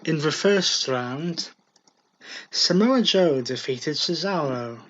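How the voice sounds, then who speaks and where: A man co-hosting a casual wrestling talk show, talking slowly with fillers and laughs. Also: fairly high pitch, lively intonation, background noise. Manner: slow, hesitant, with fillers and laughs. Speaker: podcast co-host. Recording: dull lo-fi home recording.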